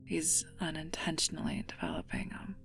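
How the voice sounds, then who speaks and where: A woman acts out a regal goddess in an ASMR audio drama, playful yet wistful. Her voice sits low with a creaky edge, often sinking into a breathy whisper, and she muses in a slow, teasing way. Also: very animated, a bit bright, soft background music, exaggerated voice. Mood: intrigued, musing. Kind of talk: intimate ASMR roleplay acting.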